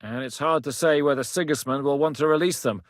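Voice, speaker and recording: A man's voice: on the high side, a little fast, no room sound, studio quality, close to an everyday voice. A man voicing a fierce, blunt warlord commander. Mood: grave. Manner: commanding, blunt, swears in battle. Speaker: military commander character. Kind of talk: video game voice lines.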